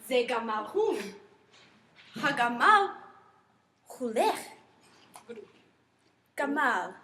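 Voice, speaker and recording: A woman's voice: sits high, big pitch swings, moderate pace, pushed and bright. A young woman student acting out a simple beginner dialogue in careful learner phrasing, played from a class video. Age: young adult. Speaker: language student. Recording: echoey room, faint noise, played video.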